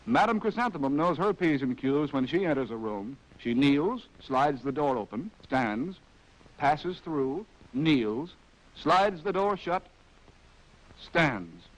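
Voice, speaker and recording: A man's voice: on the high side, lively intonation, slow pace, rough recording. An American man narrating a scripted 1940s training film in a wry, arch, theatrical way, on a vintage film soundtrack.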